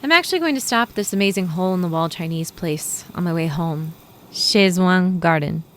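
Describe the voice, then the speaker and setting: A woman's voice: fairly low pitch, normal speed, fairly bright tone, natural voice. A woman voicing a guarded, wry young woman in her twenties. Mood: defensive.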